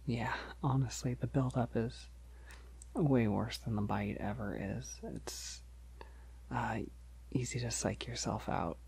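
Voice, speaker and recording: Guy doing a shy polite vampire asmr, super breathy and soft, slow n gentle, kinda hesitant. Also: medium pitch, full character voice. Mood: soft, grateful. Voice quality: soft, breathy, often near whisper. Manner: hesitant, reassuring, halting with soft chuckles. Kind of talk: ASMR roleplay, soft-spoken to the listener.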